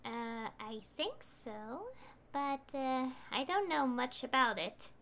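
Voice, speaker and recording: A woman's voice: high voice, sing-song, slow, fairly bright tone. A woman streaming a game, casually reading her character's lines aloud with fillers.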